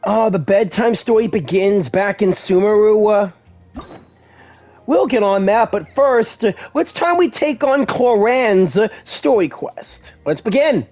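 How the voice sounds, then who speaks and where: Male let's-player, notably high-pitched, casual gaming commentary, light music underneath. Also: lively intonation, a little slow, a little mellow. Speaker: gaming YouTuber.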